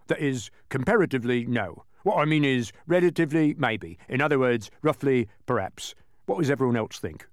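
A man's voice: medium pitch, animated, a little fast, no echo, studio quality, natural voice. A man voicing a huge, gelatinous storybook demon who is cowardly and anxious, hedging fretfully and peevishly. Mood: flustered, peevish.

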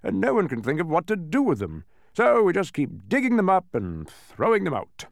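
A man's voice: a little high, wildly swooping pitch, gravelly, no echo, studio quality, pitched above the performer's own voice, heavily acted. A man voicing a proud, boastful wizardly mathematician in a grand, emphatic manner for a storybook. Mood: weary. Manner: bellowing, grand, slow emphatic proclamations.

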